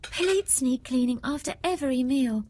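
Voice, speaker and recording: A woman acting a gentle, hardworking young heroine in a children's storybook, sweet and polite. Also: sits high, animated, normal speed, dry recording. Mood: helpful, cheerful. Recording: music and jingles behind the voice.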